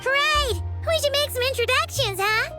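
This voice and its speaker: A woman voicing a tiny, excitable, childlike fairy companion in a video game, chirpy and exclamatory. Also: very high voice, normal speed, faint music behind. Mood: surprised, cheerful. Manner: chirpy, exclamatory, speaks of self in third person.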